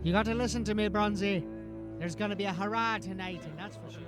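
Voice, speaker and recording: Man playing a high-voiced, pushy street informant. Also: fast, loud music behind the voice, lightly acted. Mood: urgent. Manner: brash, insistent, slangy. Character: pushy, agitated street informant with urgent news. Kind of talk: fantasy audio drama acting.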